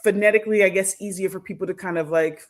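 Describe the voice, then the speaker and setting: A woman in her thirties answers interview questions at an unhurried, reflective pace. She hesitates, restarts and circles back as she works through her thoughts. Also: medium pitch, fairly even intonation. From United States. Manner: thoughtful, many fillers and restarts. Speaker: podcast guest, academic.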